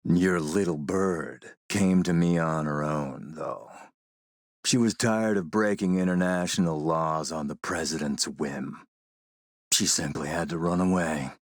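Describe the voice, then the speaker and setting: A man playing a suave video game warlord, coolly polite and mocking, with a measured, sardonic menace. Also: very deep voice, dry recording, studio quality. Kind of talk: video game villain acting.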